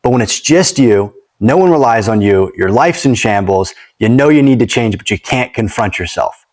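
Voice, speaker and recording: A man's voice: medium pitch, animated, moderate pace, darkish tone. A man hosting a casual podcast, reflecting earnestly and asking his guest questions. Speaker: podcast host.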